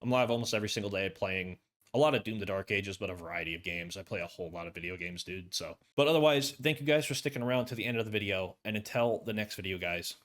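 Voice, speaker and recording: A hoarse man with a lowish voice talks through his verdict on a video game in a loose, casual way. He rambles, fills gaps with "like" and restarts his sentences often, recorded close on a desk condenser mic. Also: fast talker, fairly bright tone. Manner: rambling, with many fillers and restarts. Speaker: gaming YouTuber. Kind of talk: casual game review talk. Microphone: USB condenser desk mic.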